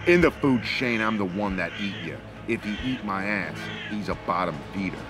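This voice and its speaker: A man's voice: on the low side, expressive, normal speed. A man in his forties giving an emphatic, theatrical mock-dramatic reading of rap lyrics. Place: outdoors among trees. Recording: music under the voice. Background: music.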